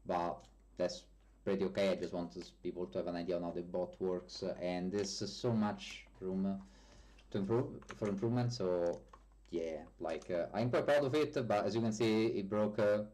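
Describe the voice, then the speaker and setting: A man's voice: deep voice, moderate pace, mellow. A man with a strong Italian accent and Italian as his first language, chatting casually on a live stream as a developer answering viewer questions, often correcting himself. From Italy.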